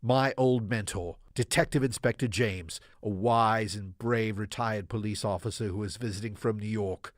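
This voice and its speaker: A man playing a solemn, hopeful townsman reading out a heartfelt letter in a radio drama, measured and eloquent. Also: fairly low pitch, dry recording, studio quality, close to an everyday voice. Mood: mournful.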